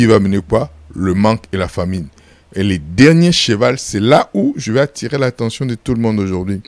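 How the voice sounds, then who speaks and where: A pastor from Congo, answering interview questions in an emphatic preaching style, full of repeated phrases and rhetorical questions. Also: male voice, fairly low pitch, darkish tone, dry recording. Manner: firm and insistent.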